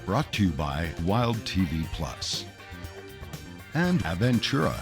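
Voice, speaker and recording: A man's voice: low voice, sing-song, slow pace. A man reading a scripted sponsor announcement for a television show as its announcer. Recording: music bed under the voice.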